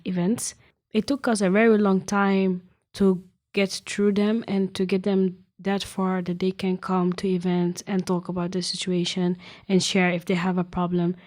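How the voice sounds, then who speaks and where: A woman answers interview questions as a youth activist. Her voice is mellow and a little low, with very level intonation. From Netherlands. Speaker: youth activist, podcast co-host.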